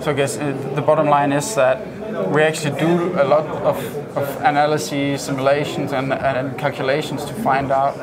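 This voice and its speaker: A man's voice: mid-range voice, flat delivery, moderate pace, hardly any pauses. A man in his thirties interviewing an engineer at a trade show, asking curious questions and summing up answers, on a lapel mic. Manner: curious, prompting, summarizing questions. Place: large exhibition hall with crowd passing behind. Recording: busy crowded hall noise. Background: loud crowd chatter.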